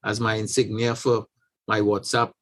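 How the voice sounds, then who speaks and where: A man from Trinidad and Tobago, a guest in an interview, telling a family story in an unhurried, anecdotal way with frequent restarts. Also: on the low side, flat delivery, fairly bright tone. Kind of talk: conversational storytelling.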